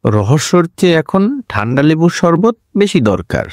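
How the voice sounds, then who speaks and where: Man as a cheeky, animated young detective. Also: fairly high pitch, moderate pace, no room sound, clean studio sound. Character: cheeky young male detective, bold talker. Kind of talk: cartoon drama acting.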